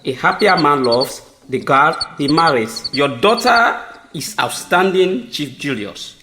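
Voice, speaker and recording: A man's voice: a little high, lively intonation, a little slow, background noise. A man with a Sierra Leonean accent playing a courteous, smitten newlywed chief in an amateur audio drama, formal and earnest in his delivery. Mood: courteous, happy.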